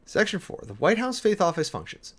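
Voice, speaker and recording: A man's voice: high voice, huge pitch swings, fairly quick, slightly rough. A man giving a dramatic, emphatic reading of an official document, breaking off often for sardonic asides and commentary as host. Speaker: host, preacher.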